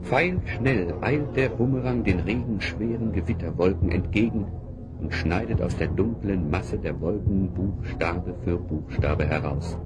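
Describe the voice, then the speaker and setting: A man's voice: sits low, a little slow, poor audio. A man playing the solemn narrator of a German fantasy audio play, reading scripted dramatic narration. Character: solemn male storyteller of fantasy adventure. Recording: loud music behind.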